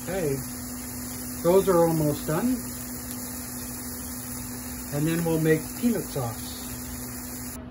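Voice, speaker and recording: A man hosting a cooking show, calmly explaining each step as he cooks in a shop demo kitchen. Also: fairly high pitch, extremely slow, pauses often, noisy background. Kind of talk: casual cooking demonstration.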